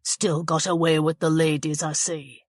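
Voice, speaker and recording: A woman voicing a cold, menacing man who talks slowly with a sneer. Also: steady tone, dry recording, clean studio sound. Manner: low, slow and sneering.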